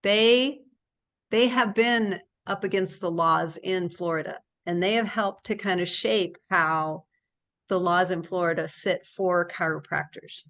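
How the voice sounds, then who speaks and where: A woman hosting a casual interview chat, asking guests brisk questions. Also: on the low side, a bit bright. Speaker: host.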